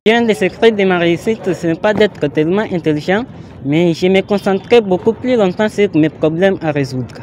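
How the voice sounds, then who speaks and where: A teenage boy, a top exam student, answering interview questions in an earnest, measured way. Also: high voice, darkish tone, a little hiss or hum. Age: late teens.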